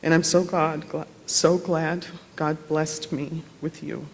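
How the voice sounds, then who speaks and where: A man's voice: on the high side, little pitch movement, takes it slowly, creaky, slight background noise. A man reading a written tribute aloud at a memorial service in a reverberant hall. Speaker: tribute reader.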